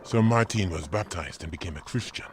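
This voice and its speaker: A man's voice: deep voice, a little fast, music in the background. A man narrating a scripted audio drama as its storyteller.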